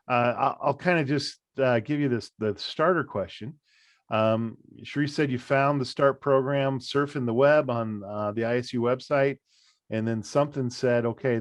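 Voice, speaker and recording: Man, interviewer, rambling friendly questions, middle pitch, dry close sound. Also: dry recording.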